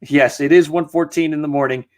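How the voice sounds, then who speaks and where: A man's voice: a little high, steady tone, a little fast. A man in his thirties hosting a late-night live stream, chatting casually and rambling with many fillers, tired and losing his voice. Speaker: host of a live sports stream. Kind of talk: casual live-stream chat reading viewer comments.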